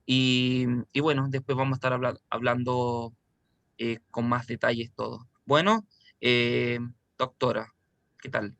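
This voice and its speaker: A man's voice: mid-range voice, level tone, measured pace, gravelly, fairly bright tone, no room sound. Man hosting a webinar, dry sound. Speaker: webinar host. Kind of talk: casual announcements.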